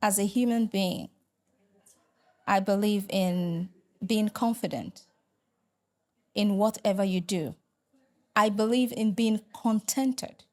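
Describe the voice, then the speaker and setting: A woman's voice: medium pitch, a little mellow. Hesitant middle-aged Nigerian woman. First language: Igbo. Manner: hesitant, measured, many fillers and restarts. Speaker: guest, religious sister and lecturer. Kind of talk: interview answers.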